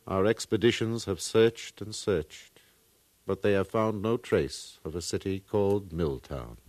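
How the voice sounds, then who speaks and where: A man's voice: low-pitched, measured pace, a little mellow, dry recording. A man playing a pompous, solemn far-future archaeologist in a 1950s radio drama, lecturing like an orator. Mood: grave, oratorical.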